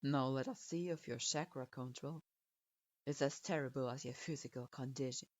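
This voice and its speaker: A woman's voice: extremely low pitch, fairly even intonation, moderate pace, no room sound, highs cut off, pitched below the performer's own voice. A woman voicing a stern adult male ninja instructor who speaks in curt, cold commands.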